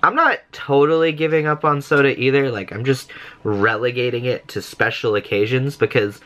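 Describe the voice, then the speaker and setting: Bright-voiced young man, chatty vlog. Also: medium pitch, moderate pace. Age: young adult. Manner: chatty, rambling, self-mocking. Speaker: vlogger. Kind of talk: casual daily vlog chat. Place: small bedroom, indoors. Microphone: camera close to face.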